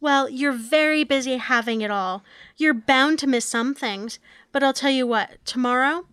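A woman acts out a sitcom wife and mother at a table read. Her voice is high and animated with a sarcastic edge, recorded dry. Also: normal speed, clean tone, no room sound. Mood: sarcastic, exasperated.

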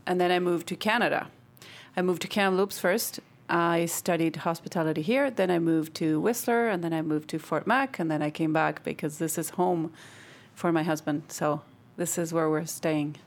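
A cheerful woman from Argentina, her first tongue, chats lightly on a podcast. Her voice sits a little low for a woman. She talks in an easy, friendly way. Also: normal speed. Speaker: podcast co-host. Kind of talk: casual chat.

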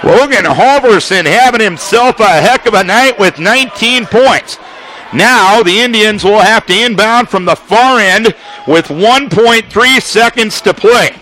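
A man delivers radio basketball play-by-play in a high, bright-pitched voice. He calls the action in rapid bursts that lift into shouts on the big plays. Steady hiss and gym noise sit under his voice. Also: low-quality recording. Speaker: play-by-play announcer. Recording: live gym broadcast with crowd noise.

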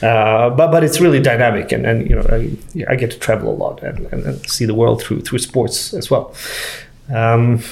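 A man's voice: mid-range voice, creaky, a little mellow. A man in his forties who grew up in Sweden, speaking as a guest in an easygoing talk, with lots of fillers and restarts. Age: 40s. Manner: halting, many fillers and restarts. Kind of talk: casual conversation.

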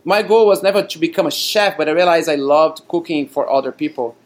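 A man from Brazil with a Brazilian Portuguese accent, telling his story as a guest in a casual interview, chatty and quick to laugh. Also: fairly high pitch. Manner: chatty, laughs often, many fillers. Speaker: guest, cafe owner.

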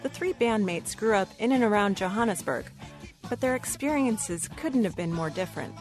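A woman's voice: medium pitch, animated, moderate pace, darkish tone, soft background music. A woman radio reporter reading scripted narration for a feature story.

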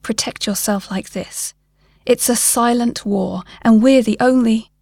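A woman's voice: a little high, a little slow, creaky, a little mellow, dry recording, studio quality. A woman voicing a paranoid man in his late fifties, an urgent, emphatic conspiracy theorist in a short story. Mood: earnest, paranoid. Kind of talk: short story character dialogue.

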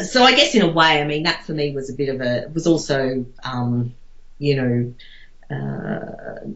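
A woman chatting casually in an interview, hesitant and thinking aloud as phrases trail off. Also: very deep voice. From Australia. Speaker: interviewee, artist. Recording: dull lo-fi, roomy echo.

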